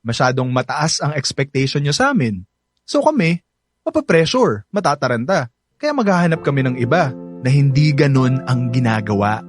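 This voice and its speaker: A young Filipino playboy in a radio drama, smug, boastful and teasing. His pitch swings in a lively way. The sound is close and dry, with faint music behind it. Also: moderate pace, dry recording. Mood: smug, argumentative. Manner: boastful, teasing, code-switches into English.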